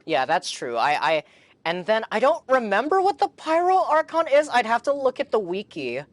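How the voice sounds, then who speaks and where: A man's voice: sits high, huge pitch swings, moderate pace, no echo. A man streaming a game, giving casual live reaction commentary and thinking aloud in a rambling way.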